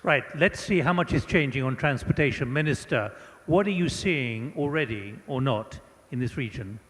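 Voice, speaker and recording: A man moderating a panel, asking brisk, direct questions. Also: medium pitch, lively intonation, fairly bright tone. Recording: conference hall reverb.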